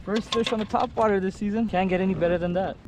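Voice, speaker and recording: Noisy outdoor sound with water and kayak noise surrounds a slightly high-voiced man. He hosts a fishing vlog, talking casually to the camera in short, colloquial remarks as he fishes. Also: fairly even intonation, fast talker.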